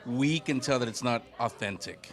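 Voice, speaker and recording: Friendly male interviewer, mid-pitched. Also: normal speed, fairly bright tone. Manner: friendly, stammers on restarts. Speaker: interviewer, host. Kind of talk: casual interview. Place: art gallery at an art walk.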